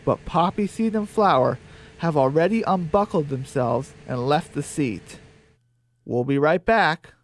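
A man's voice: on the high side, a little slow. A man narrating a scripted comic story as the storyteller of an audio drama. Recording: faint background noise.

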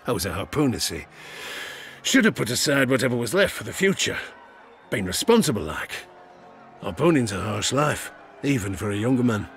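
An actor playing a rueful, ruined old harpooner and gambler with a British accent, spinning a folksy, gravelly-voiced yarn in an audio drama. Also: male voice, mid-range voice, sing-song, moderate pace, pauses often, fairly bright tone. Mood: wry storytelling. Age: older. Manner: folksy, rustic, rambling tavern yarn-spinning. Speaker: storyteller. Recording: studio drama with music behind. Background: music.

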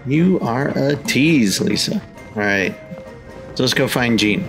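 Animated, creaky man doing let's-play commentary. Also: medium pitch, takes it slowly, faint music behind. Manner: playful, exclamatory interjections. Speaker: gaming host.

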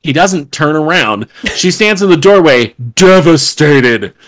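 A woman reads stage directions in a very lively, swooping voice. She keeps breaking off with sarcastic, sweary asides, like a casual podcast co-host on a group call. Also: sits low, unhurried. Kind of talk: informal table read, casual banter. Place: home rooms on a group video call.

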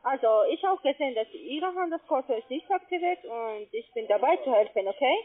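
Foreign accent lady selling over the phone, halting, highish voice, tinny line. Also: animated. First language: not German. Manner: halting, ends phrases on questioning tags. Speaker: call centre agent. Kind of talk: telephone sales pitch.

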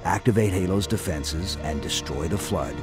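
Man as a deep, terse super-soldier. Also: moderate pace, over music, lightly acted. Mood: stoic. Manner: terse, restrained, commanding. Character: stoic, terse armored male super-soldier. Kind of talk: scripted video game acting.

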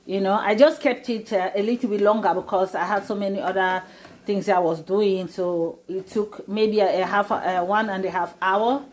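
A woman's voice: mid-range voice, steady tone, normal speed. Middle-aged woman, cooking vlog host, talks casually through steps in her kitchen on a phone camera. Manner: chatty, explains step by step with many fillers. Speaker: cooking vlogger. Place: home kitchen.

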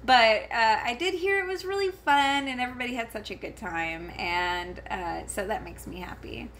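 Woman, 30s, home vlogger, bright, rambling casual delivery, faint background noise. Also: fairly high pitch, normal speed. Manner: rambling, many fillers and restarts, giggly asides. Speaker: vlogger reviewing a convention. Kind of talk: casual talking-to-camera chat. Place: home, her daughter heard elsewhere in the house.

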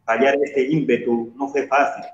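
A man's voice: mid-range voice, little pitch movement, moderate pace, a little hiss or hum. A young man reading a poem as a guest poet, on an echoey, dull video call from a bare room. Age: young adult. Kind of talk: poetry reading.

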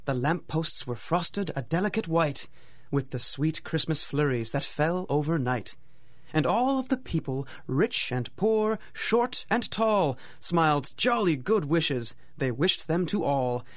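A man's voice: a little high, animated, a little slow. A man narrating a radio drama in a theatrical, dramatic storytelling manner.

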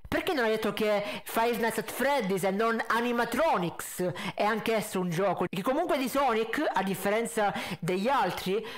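A man, giving sarcastic, animated reaction commentary into a close desk mic. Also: sits high, normal speed, hardly any pauses. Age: 20s. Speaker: reaction video host. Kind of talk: casual mocking video commentary. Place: indoor room at a desk. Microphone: close desk condenser mic with pop filter.

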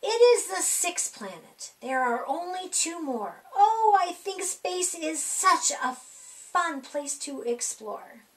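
A middle-aged woman reading a rhyming children's picture book aloud in an animated, sing-song, deliberate way for young kids. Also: extremely high pitch, rough recording. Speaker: library storytime reader. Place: small indoor room.

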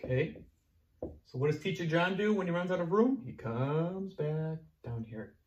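A young man teaching preschoolers online at a whiteboard, talking slowly and encouragingly in a sing-song way, moving toward and away from the camera. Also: a little high, lively intonation, darkish tone, muffled recording, slight background noise. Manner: slow, sing-song, encouraging teacher talk to small children. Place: classroom at a whiteboard. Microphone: camera close up, moving away to write.

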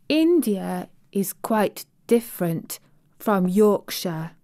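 A woman voicing a prim, sheltered young girl who speaks in a proper, measured way. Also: medium pitch, natural voice. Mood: thoughtful.

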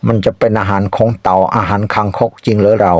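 A man voicing a foolish, fearful tiger in an animal folk tale, moaning in drawn-out exclamations. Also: lowish voice, flat delivery, no echo, natural voice. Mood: fretful. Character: foolish, fearful tiger, wailing and easily tricked.

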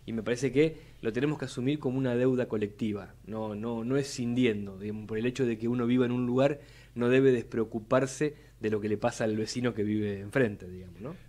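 A man's voice: medium pitch, fairly quick, darkish tone. A young man with a Buenos Aires Spanish accent, speaking as a city legislator on a live radio interview in a studio, talking formally and often repeating words as he builds long arguments. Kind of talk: measured policy talk on live radio. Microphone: studio mic on boom arm.

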